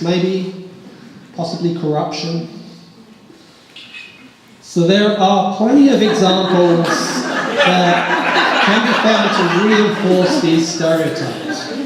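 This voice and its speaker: Slow-speaking man in an echoey hall. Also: fairly high pitch, darkish tone. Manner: self-deprecating, joking with the audience. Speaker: police officer giving a pitch talk. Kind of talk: live presentation pitch. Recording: echoey hall, roomy reverb.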